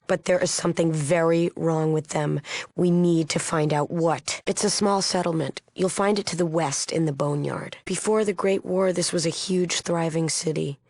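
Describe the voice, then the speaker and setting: An actress playing a solemn, idealistic leader of a post-apocalyptic peace movement in a video game, earnest and guarded. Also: female voice, low voice, dry recording. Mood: somber, explanatory. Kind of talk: acted video game dialogue.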